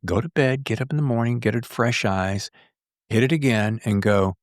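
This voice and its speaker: A man's voice: mid-range voice, normal speed, no echo. Chatty, rambling man hosting a podcast, dry close sound. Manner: rambling, chatty, acts out his own thoughts. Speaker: podcast host. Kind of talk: casual podcast interview.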